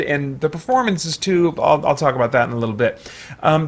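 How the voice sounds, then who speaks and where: A man giving a casual, unscripted movie review, thinking out loud in a rambling, hesitant way with repeated false starts. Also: a little high. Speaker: movie reviewer, video host.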